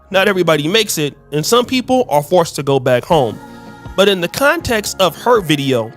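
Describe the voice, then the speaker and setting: A man's voice: on the high side, a bit bright. A man hosting a video channel, speaking directly to viewers in a blunt, emphatic commentary. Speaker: YouTube channel host.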